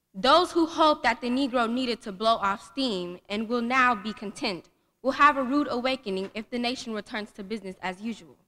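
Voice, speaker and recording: A woman reads a speech in a fairly high voice. The delivery is formal oratory, with long reverb from a large hall around it. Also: fairly bright tone. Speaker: guest reader at a commemorative event. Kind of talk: dramatic speech reading, oratory. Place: large indoor hall at a college event.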